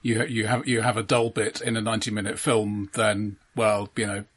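A man co-hosting a podcast, discussing films casually in a measured, analytical and somewhat hesitant way. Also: lowish voice, little pitch movement, breathy edge. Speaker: podcast co-host.

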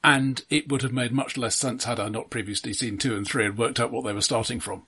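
A man with a husky, slightly rough voice at a medium pitch. He co-hosts a casual film discussion, delivering his criticism in a measured, wry way. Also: steady tone, bright, forceful tone. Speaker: podcast co-host.

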